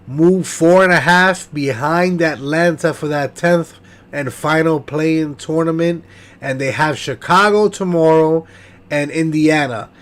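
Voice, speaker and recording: A young man hosting a casual sports stream, his voice stuffed-up and nasal from congestion. He pitches a bit high and rattles off scores and shout-outs between fillers. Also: a little slow. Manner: hype, shout-outs, stat rundown with fillers. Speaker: sports podcast host. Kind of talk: casual post-game sports talk stream.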